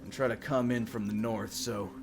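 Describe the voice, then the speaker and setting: A man voicing gruff, agitated orc villagers in a tabletop game, speaking bluntly with halting, swearing urgency. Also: lowish voice, fairly even intonation, music in the background, lightly acted. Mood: gruff, urgent. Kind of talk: in-character tabletop game voices.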